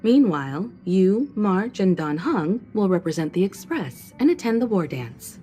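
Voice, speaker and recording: A woman voicing a poised, mature crew leader who speaks calmly and with gentle authority in a game cutscene. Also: mid-range voice, measured pace. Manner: calm, composed, gently authoritative. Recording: game cutscene with faint music.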